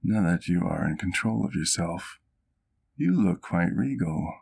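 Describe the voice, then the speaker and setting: A man playing a doting, courteous vampire doctor in an intimate ASMR roleplay, with formal, affectionate diction and gentle teasing. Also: low-pitched, lively intonation, measured pace, vocal fry, exaggerated voice. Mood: proud, tender.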